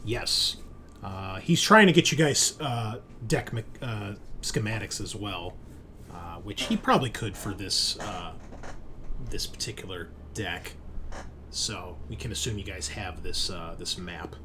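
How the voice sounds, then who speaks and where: Low-voiced man over clear background noise. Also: measured pace. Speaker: game master. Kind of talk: narrating a tabletop role-playing game, conversational.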